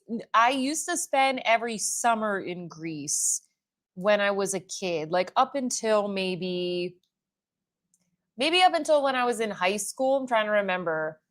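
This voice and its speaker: Woman, thirties, beauty livestream host, casual rambling chat with viewers, conversational and unscripted. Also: on the high side. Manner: chatty and rambling, with frequent "like" fillers. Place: at home doing makeup in front of a mirror.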